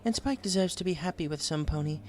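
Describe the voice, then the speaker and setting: A man's voice: fairly high pitch, fast talker, darkish tone, slight background noise, pitched above the performer's own voice, natural voice. A man voicing a refined, melodramatic female pony seamstress in an audiobook, prim, genteel and given to wistful whining. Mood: wistful, hesitant. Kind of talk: audiobook character voice.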